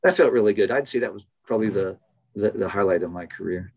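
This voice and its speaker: An American man in his fifties, a professor interviewed on a video call from a small office, telling casual anecdotes in a halting, often amused way. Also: lowish voice, darkish tone, husky. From United States. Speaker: interview guest, biology professor. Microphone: computer microphone on a video call.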